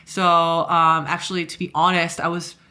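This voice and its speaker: A woman born in Korea and raised in the US, chatting casually as a podcast guest, full of "like" fillers and speeding up when excited. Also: on the low side, moderate pace.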